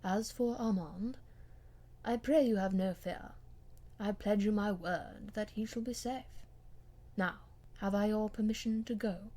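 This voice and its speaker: Lady doing a proud courteous gentleman in a storybook, measured and formal, cool but gentle. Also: mid-range voice, lightly acted. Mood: cool courtesy. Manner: formal, gallant, measured, cold yet gentle. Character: proud, restrained English gentleman, coolly courteous to his lady.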